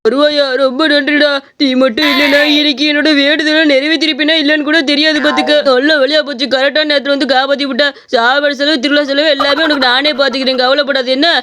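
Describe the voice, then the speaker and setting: A high, fast, forceful village big brother acted by a woman, excitable and easily scared. He grumbles and scolds in a rural Tamil Nadu accent, cartoon-style, with faint noise behind. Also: natural voice. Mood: grateful. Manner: scolding, complaining, colloquial village speech. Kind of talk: animated comedy story voice acting.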